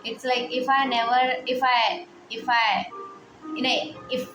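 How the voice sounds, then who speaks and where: A woman's voice: fairly high pitch, little pitch movement, a little slow. A woman in her twenties talking through personal worries in a rambling, hesitant vlog, heavy on fillers. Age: 20s. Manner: quietly upset, reflective. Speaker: vlogger. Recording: echoey room with music playing behind.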